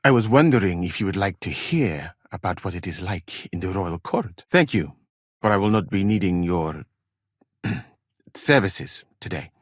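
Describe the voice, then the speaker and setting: A learned, gallant medieval healer from Mali for a video game. His voice is low and husky, with lively swings in pitch. He chooses his words formally and courteously, with a gentle manner. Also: no room sound, studio quality. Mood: gentle, admiring. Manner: courteous, measured, formal, careful wording. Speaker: video game character, physician. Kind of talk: acted video game dialogue, formal phrasing.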